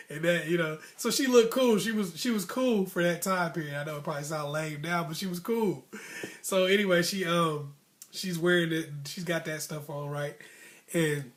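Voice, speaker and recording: An American man telling a personal story casually, in slangy speech, acting out bits of dialogue as he goes. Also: fairly high pitch. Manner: animated slangy talk, acting out childhood dialogue. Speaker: storyteller host.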